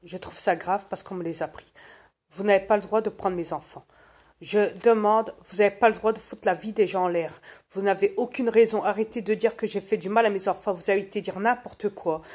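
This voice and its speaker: A woman's voice: mid-range voice, steady tone, brisk, darkish tone, husky, voice pushed up, lightly acted. A woman retelling a story voices a distraught mother in her forties who pleads indignantly.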